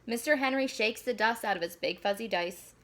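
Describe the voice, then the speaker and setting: A woman reading a children's storybook aloud in an animated, deliberate storyteller delivery. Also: on the high side. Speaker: school staff member reading aloud.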